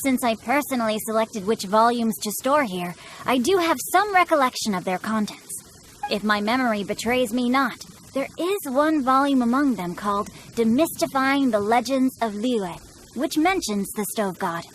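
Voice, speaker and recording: A woman voicing a courteous, bookish young gentleman scholar in a video game, with flowery, self-assured speech. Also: on the high side, expressive, normal speed, a little hiss or hum, lightly acted, poor audio.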